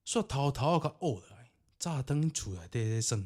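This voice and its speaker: A man's voice: medium pitch, lively intonation, normal speed, darkish tone. A man with a Taiwanese accent narrating ghost stories and commenting on them in a theatrical, colloquial way. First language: Taiwanese Hokkien. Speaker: podcast host and storyteller.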